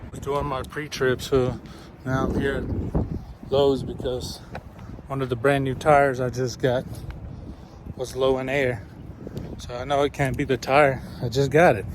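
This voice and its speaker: A man in his thirties or forties talking casually to his phone as a trucker vlogger, in a halting, matter-of-fact way. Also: medium pitch, flat delivery. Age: 30s to 40s. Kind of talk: casual vlog talk. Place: truck cab and open parking lots outdoors. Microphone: phone at arm's length. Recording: noisy outdoor and truck-cab phone recording.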